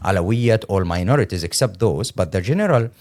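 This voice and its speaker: A middle-aged man from Syria with a Syrian Arabic accent, speaking English as a second language in an earnest, halting, emphatic interview account. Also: low voice, animated, a little mellow. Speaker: interview guest, lawyer and humanitarian.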